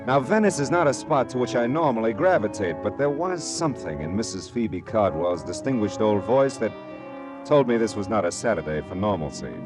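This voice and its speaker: A man's voice: on the low side, sing-song, brisk, music in the background. A man playing a wry, world-weary American private detective in a 1940s radio drama, speaking in terse, punchy phrases. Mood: wry, leisurely. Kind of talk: 1940s radio drama acting, first-person narration.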